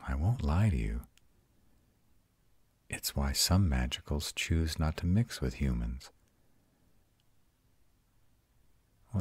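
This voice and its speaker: A man playing a proud but tender vampire lover in an intimate comfort roleplay, speaking in a soothing, reassuring way with a breathy, husky voice. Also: very deep voice, no room sound, heavily acted. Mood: gentle, solemn. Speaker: voice actor in a roleplay audio.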